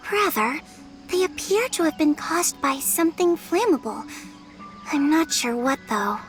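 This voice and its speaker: A woman voicing a small, childlike, curious companion in a video game, expressive and inquisitive. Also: sits high, unhurried, faint music behind. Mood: pondering. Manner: expressive, questioning.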